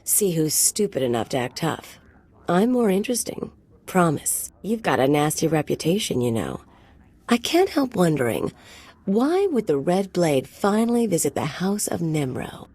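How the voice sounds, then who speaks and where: Low, husky, flirtatious enforcer. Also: female voice, big pitch swings, moderate pace, a little mellow. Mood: taunting, flirtatious. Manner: sultry, taunting. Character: swaggering flirtatious female enforcer, menacing and playful. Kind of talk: video game character acting.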